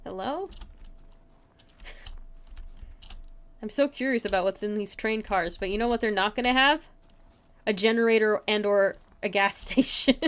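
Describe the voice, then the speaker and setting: A woman giving excitable live gameplay commentary, talking to herself and to her viewers. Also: mid-range voice, clear, resonant tone. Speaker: game streamer. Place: indoors, streaming at a computer.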